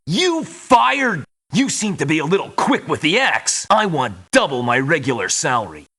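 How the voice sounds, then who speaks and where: Surly, swooping game mercenary. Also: male voice, fairly high pitch, sing-song, normal speed, pushed and bright, heavily acted. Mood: resentful, combative. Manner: blunt, resentful, grumbling. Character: surly, touchy male mercenary with a grudge. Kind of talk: video game character voice lines.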